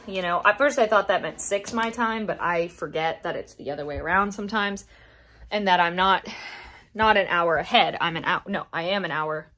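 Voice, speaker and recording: A woman's voice: mid-range voice, a bit bright. A woman chatting informally in a video diary, rambling and correcting herself as she goes. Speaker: daily vlogger. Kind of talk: casual video diary chat.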